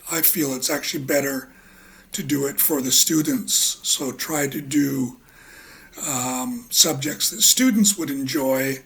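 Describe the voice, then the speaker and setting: Male English teacher, husky voice, unhurried, halting lecture delivery. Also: a little high. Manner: slow, halting, with many ums and uhs. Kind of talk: relaxed spoken lecture.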